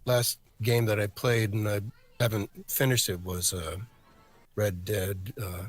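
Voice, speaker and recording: A man answering as an interview guest, a voice actor talking casually in a halting, reflective way. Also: on the low side, little pitch movement.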